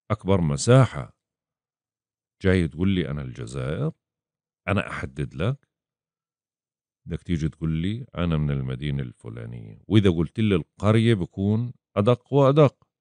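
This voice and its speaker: A man's voice: low-pitched, a little mellow, no echo. A man gives a casual astrology lesson, acting out his clients' questions in quoted voices. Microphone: voice-over over chart screen.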